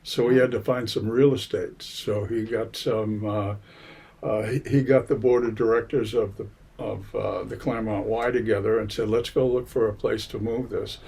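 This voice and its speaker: Older man, seventies, husky and lowish, hesitant storyteller. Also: fairly even intonation, a little mellow. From Massachusetts, USA. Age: 70s. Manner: unhurried, many hesitations and fillers. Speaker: interviewee. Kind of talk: oral history interview. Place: public library.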